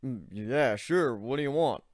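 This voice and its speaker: A man's voice: medium pitch, lively intonation, unhurried, dry recording, studio quality, lightly acted. A man voicing a socially awkward, nervous young human man, speaking hesitantly.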